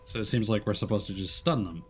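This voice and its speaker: A man's voice: lowish voice, fast talker, faint music behind. A man streaming a video game, moving between casual commentary and reading story narration aloud.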